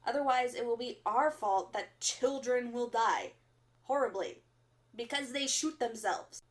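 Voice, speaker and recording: A woman playing an earnest, stern resistance fighter who delivers solemn, deliberate warnings in a radio drama broadcast. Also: fairly high pitch, natural voice. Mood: stern, instructive. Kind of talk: audio drama acting as underground radio broadcast.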